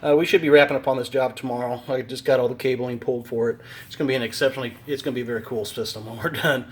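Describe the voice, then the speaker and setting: A man's voice: medium pitch, rapid-fire, slight background noise. A man, a home theater installer, casually explaining gear in a basement with many ums and uhs. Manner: relaxed, rambling, lots of fillers. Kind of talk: casual on-site video demo. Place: unfinished basement of a house.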